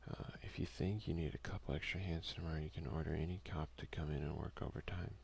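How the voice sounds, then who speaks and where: Low, very creaky hushed male gamer. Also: fairly even intonation, fast, a little hiss or hum. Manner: hushed, soft-spoken. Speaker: let's play streamer. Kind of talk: ASMR game commentary.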